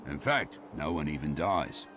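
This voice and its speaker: An actor playing a sly, furtive tipster in a fantasy game, conspiratorial and taunting. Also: male voice, very deep voice, lively intonation, unhurried. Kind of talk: fantasy game character acting. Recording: music behind the voice.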